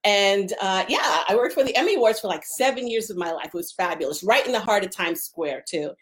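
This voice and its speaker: A woman's voice: medium pitch, animated, brisk, fairly bright tone. A woman from Long Island, New York, a theater teacher, talking as a guest in a chatty way, laughing easily and saying um often. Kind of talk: casual interview talk.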